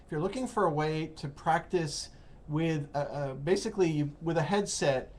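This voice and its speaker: A man with an American accent hosting a casual interview, explaining in a halting way and rephrasing as he goes. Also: on the high side, darkish tone. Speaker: host of an English-learning call-in program. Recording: noticeable background noise.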